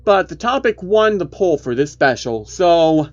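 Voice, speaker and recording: A man's voice: a little high, big pitch swings, a little slow, lo-fi sound, voice pushed up. A man voicing a chatty male YouTuber who explains creepy cartoon myths emphatically, in online video style. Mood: animated explaining.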